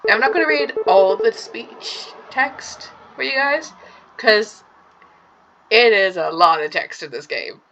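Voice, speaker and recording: Hoarse woman chatting over gameplay. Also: medium pitch, animated, unhurried, fairly bright tone, low-quality recording. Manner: chatty, explains game details step by step. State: hoarse from a sore throat. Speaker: let's play gamer. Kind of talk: casual gameplay commentary. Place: room at home.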